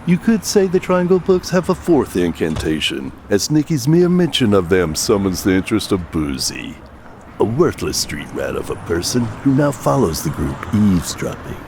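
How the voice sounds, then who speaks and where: Wry storyteller, very deep voice, animated intonation, theatrical, audio drama narration, street noise behind. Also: male voice, moderate pace. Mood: sly, theatrical. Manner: wry, theatrical, savouring his words.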